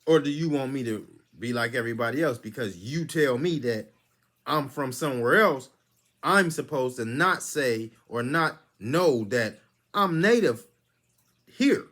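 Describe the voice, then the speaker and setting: A man's voice: mid-range voice, animated, unhurried, fairly bright tone. A man hosting his own channel, giving a lecturing monologue that is full of rhetorical questions. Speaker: channel host. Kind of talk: preachy monologue commentary.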